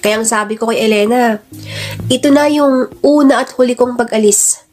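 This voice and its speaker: A woman's voice: on the high side, normal speed, darkish tone, natural voice. Woman voicing a resolute, burdened Filipino husband. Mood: resigned, determined. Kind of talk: radio-style drama dialogue.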